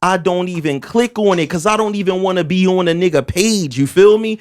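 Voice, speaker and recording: A man in his forties hosting a casual podcast, talking with animated emphasis, street slang and stammered restarts. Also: high voice, a little fast. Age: 40s. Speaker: podcast host.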